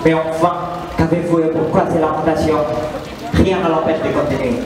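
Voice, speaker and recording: Heard from far off in a very reverberant hall with audience murmur, a cynical, resigned Roman patrician speaks played by a young male student. His voice is fairly high and carries an Indonesian accent in this amateur stage reading. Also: fairly even intonation, moderate pace, crowd noise, close to an everyday voice. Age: young adult. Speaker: student actor. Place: indoor performance hall, recorded from a distance.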